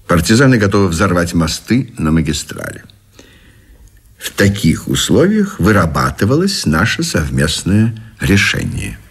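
A pompous military general in a radio drama. His voice is low and husky, and it swings widely in pitch as he declaims in formal, official style. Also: big pitch swings, unhurried, heavily acted. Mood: grave, official. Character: official, pompous Allied general dictating terms. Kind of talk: radio play acting.

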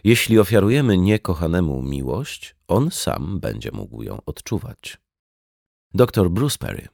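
A man reading a nonfiction audiobook in a measured, articulate way. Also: low-pitched, very animated, creaky, a little mellow, no room sound, studio quality. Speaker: audiobook narrator. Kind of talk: scripted nonfiction audiobook narration.

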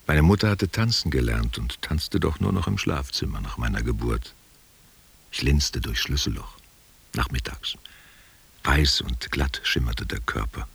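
A man playing a nostalgic, tender old man in a literary radio play, husky and gravelly, speaking intimately and reflectively. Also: a bass voice, animated, measured pace, heavily acted. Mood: wistful reminiscing. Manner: intimate, reflective, drifting between memory and direct address. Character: nostalgic old man, former only child, tender and wistful.

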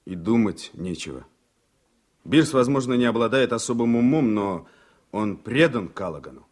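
A principled, troubled man in a classic radio play. His voice is on the low side and slightly rough, and he talks earnestly and hesitantly, as if torn by conscience. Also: animated, moderate pace, darkish tone. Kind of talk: Soviet-era radio drama acting.

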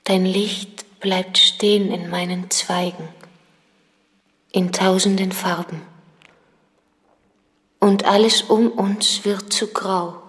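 An actress playing a lost soul in a radio drama, a tender, yearning female spirit with slow, breathy, partly whispered poetic lines. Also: medium pitch, steady tone, fairly bright tone. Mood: yearning, ethereal. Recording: long cavernous reverb.

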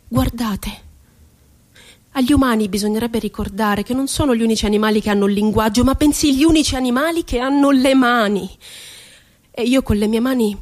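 Actress as high-voiced, impassioned theatrical woman. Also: natural voice. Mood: insistent, reproachful. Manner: declamatory, deliberate phrasing with emphatic repetition. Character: impassioned, rebellious woman, poetic dreamer and angry outcast. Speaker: stage actress. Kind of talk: theatrical dramatic monologue.

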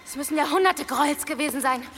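Urgent, very high-voiced young girl. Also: fast talker, a bit bright, a little hiss or hum. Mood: brisk, bossy. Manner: urgent, commanding. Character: urgent, bold young girl in a medieval fantasy cave. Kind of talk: German radio play acting.

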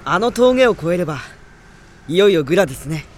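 A boyish young mage in a radio drama. His voice is fairly high and his speech polite and earnest, with faint noise behind. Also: sing-song, a little fast.

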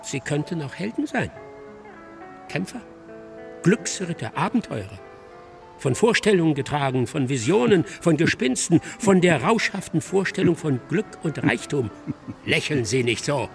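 Indignant scholar, creaky higher-pitched voice. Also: male voice, big pitch swings, moderate pace, faint music behind. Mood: earnest, challenging. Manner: earnest, rhetorical, reproachful. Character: indignant, idealistic male scholar with impassioned convictions. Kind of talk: stylised German radio play dialogue.

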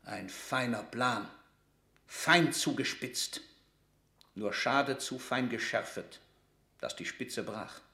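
A man's voice: mid-range voice, slow, vocal fry, long pauses, bright, forceful tone, roomy sound, full character voice. A man playing a cold, sarcastic statesman in a classical radio drama, with formal, commanding and cutting declamation. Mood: bitter sarcasm. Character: stern, cold, sarcastic male royal statesman.

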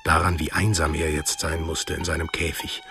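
A man narrating a scripted radio drama in a measured, literary storytelling manner, with a deep, husky voice. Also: little pitch movement, music in the background.

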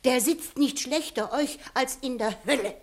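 Earnest village woman, superstitious witness, classic radio play. Slow, emphatic, dramatic, slightly husky delivery. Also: high voice. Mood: insistent. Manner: dramatic, emphatic, drawn-out storytelling with hushed passages. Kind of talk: 1960s German classical comedy radio play acting.

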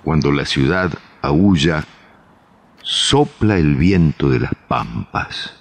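A man playing a brooding figure in a radio drama, speaking solemnly with dramatic pauses and a deep, husky, gravelly voice. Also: expressive, full character voice. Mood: solemn, portentous. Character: Ernesto, brooding man reading prophecies theatrically.

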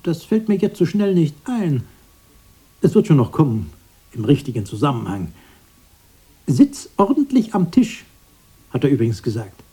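An actor playing a pedantic, proud aging fairy-tale brother in a radio drama, with a dark, husky voice and an earnest manner. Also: male voice, fairly high pitch, big pitch swings, moderate pace, pauses often.

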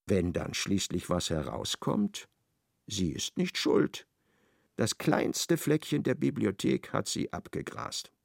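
Gravelly, dramatic male narrator. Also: fairly low pitch, takes it slowly, dry recording, studio quality. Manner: expressive, dramatic storytelling. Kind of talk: scripted radio play narration.

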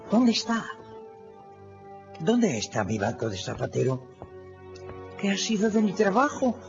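An actor playing a broken, bewildered old doctor in a radio drama, agitated and pleading. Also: male voice, high voice, sing-song, unhurried, vocal fry, dull audio, music in the background, full character voice. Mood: frantic confusion. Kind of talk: Spanish-language radio drama acting.

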